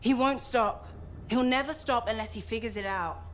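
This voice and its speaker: Intense female mission operator for a sci-fi game actress; fairly high voice, insistent, faint noise behind. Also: normal speed, natural voice. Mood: fervent. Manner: urgent, insistent. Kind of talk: video game cutscene acting. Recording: faint background noise and ambience.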